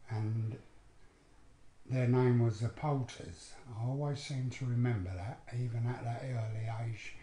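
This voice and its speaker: An older man from London, speaking slowly and plainly with pauses as he recalls his memories in a living room. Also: mid-range voice, steady tone, dark tone, roomy sound. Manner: slow, plain, pausing. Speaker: interview guest, abuse survivor. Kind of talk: recounting memories.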